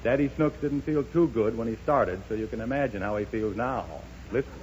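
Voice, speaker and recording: A man's voice: medium pitch, a little fast. A man hosting a 1930s radio variety show, giving showmanlike, joking introductions. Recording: noisy, muffled 1930s radio broadcast.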